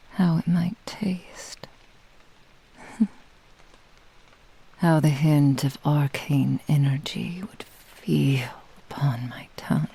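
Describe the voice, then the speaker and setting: Woman voicing a husky, whispering, possessive fox witch. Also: low voice, mellow, heavily acted, low-quality recording. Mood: hungry, seductive. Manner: slow, teasing, purring menace. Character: possessive, menacing, seductive female fox-spirit witch. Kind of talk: whispered ASMR roleplay.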